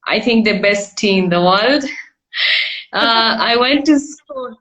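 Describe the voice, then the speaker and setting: A woman from Kenya with a Kenyan accent, answering interview questions over a video call, hesitant with soft laughs. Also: fairly high pitch, expressive, slow, muffled recording. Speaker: guest entrepreneur.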